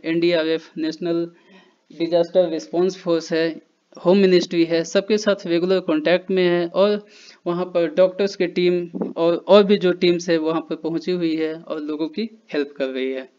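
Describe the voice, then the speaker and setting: A man's voice: sits high, darkish tone, smooth. A man giving a loose, conversational explanation over slides, with fillers and restarts. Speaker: educational channel presenter. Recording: dull lo-fi voice-over.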